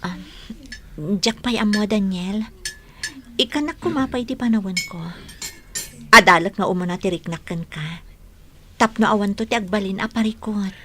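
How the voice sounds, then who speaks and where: A woman's voice: mid-range voice, normal speed, long pauses, pushed and bright, slight background noise, lightly acted. Actress as a modest, earnest young woman. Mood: sincere, shy. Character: modest, sincere hardworking young woman being courted. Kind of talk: Ilocano radio drama acting.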